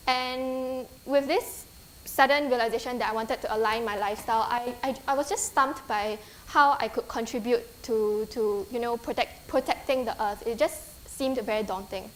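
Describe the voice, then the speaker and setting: A woman's voice: on the high side, steady tone, moderate pace, slight background noise, poor audio. Young Singaporean woman giving a talk. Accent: Singaporean English. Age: 20s. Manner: friendly, earnest, with frequent ums and restarts. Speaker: guest speaker. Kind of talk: conference talk with slides. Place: lecture hall.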